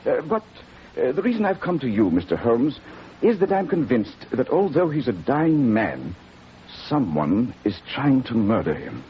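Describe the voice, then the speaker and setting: An actor playing a worried, earnest country physician, speaking formally and a little hesitantly in 1940s radio drama style. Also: male voice, mid-range voice, big pitch swings, moderate pace, close to an everyday voice. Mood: grave, urgent. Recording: dull, hissy old radio recording.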